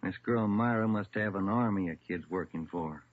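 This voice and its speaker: A weary, plainspoken detective from an old radio police show, played by a man, with a lowish voice. He talks in short, grumbling phrases over a thin, phone-like recording with faint noise. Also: a little fast, close to an everyday voice. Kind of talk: vintage radio police drama.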